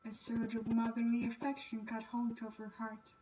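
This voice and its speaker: High-voiced woman narrating a visual novel. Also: completely flat delivery, moderate pace, dark tone, distant mic, lo-fi sound, a little hiss or hum. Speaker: streamer. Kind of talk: animated read-aloud narration of a visual novel.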